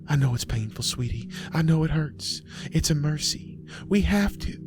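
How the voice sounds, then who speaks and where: A man voicing a gentle, coaxing farm woman in a horror story, soft and soothing. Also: a little high, lively intonation, normal speed, music in the background, voice pushed up, lightly acted. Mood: tender urging.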